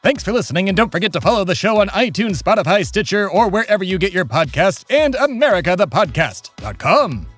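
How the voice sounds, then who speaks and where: A man plays a pompous, centuries-old stoner who hosts a comedy audio drama. His speech is thick-tongued, formal and irritable, pitched on the high side and highly animated. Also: brisk, hardly any pauses, no echo, soft background music, heavily acted. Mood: showman-like. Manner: pompous, formal, foul-mouthed.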